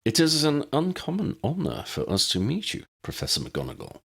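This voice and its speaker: Man playing a courteous, formal gentleman, raised pitch. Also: wildly swooping pitch, normal speed, creaky, breathy edge, exaggerated voice. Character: courteous, formal non-magical man, careful and polite.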